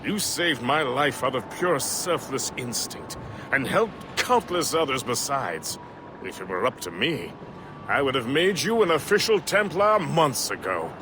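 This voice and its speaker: An actor playing a jovial, tipsy middle-aged ship's mate in a video game, wordy and teasing. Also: male voice, mid-range voice, sing-song, measured pace. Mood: candid, amused. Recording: outdoor noise behind the voice.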